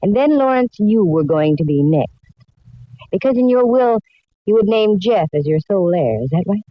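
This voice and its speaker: A woman's voice: medium pitch, lively intonation, normal speed, close to an everyday voice. A woman playing a shrewd, wry, self-assured private detective in a 1940s radio drama, laying things out with wisecracks. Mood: confident, revealing. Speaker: lead actress and narrator. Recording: dull vintage radio recording.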